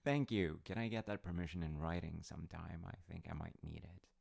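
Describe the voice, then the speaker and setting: A man playing a gentle, attentive, slightly awkward middle-aged dad in a soft-spoken, wholesome audio roleplay, hesitant and reassuring as he talks. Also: exaggerated voice. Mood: lightly joking. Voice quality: gravelly, low. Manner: hesitant, reassuring, thoughtful with pauses.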